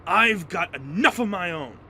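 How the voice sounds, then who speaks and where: A man's voice: high-pitched, expressive, measured pace, bright, forceful tone, slight background noise, full character voice. A man playing a moody, reluctant young fighter in an audio drama, youthful and emotional. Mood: agitated, angry. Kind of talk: fan audio drama acting.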